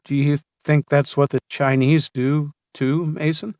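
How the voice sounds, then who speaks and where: Naive young male rookie, played by a male narrator: hesitant, lighter raised pitch, timid. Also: little pitch movement, measured pace, darkish tone, no room sound, clean studio sound. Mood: timid, unsure.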